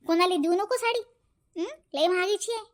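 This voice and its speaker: A woman's voice: very high-pitched, fairly quick. A woman voicing a touchy, possessive middle-aged village aunt in a cartoon family comedy, nagging and repeating herself with a Varhadi Marathi accent. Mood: boastful, fussy. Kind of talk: amateur animated family comedy acting.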